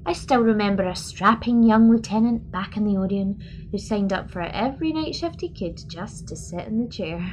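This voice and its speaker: A woman's voice: mid-range voice, normal speed, noisy background, close to an everyday voice. A woman playing a friendly, doubting starship engineer in an audio drama, informal in manner. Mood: teasing.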